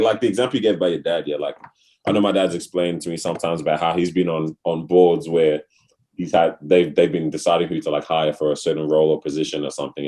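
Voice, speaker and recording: A young man with an Australian accent, telling a story in casual podcast chat, full of slang. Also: low voice. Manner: slangy, laughs loudly, storytelling. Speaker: podcast co-host.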